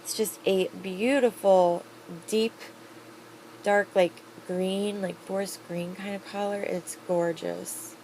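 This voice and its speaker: A woman's voice: mid-range voice, steady tone, slow pace, dark tone, slight background noise, low-quality recording. A woman vlogger reviewing products in a casual, chatty, upbeat way, rambling with fillers. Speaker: beauty vlogger.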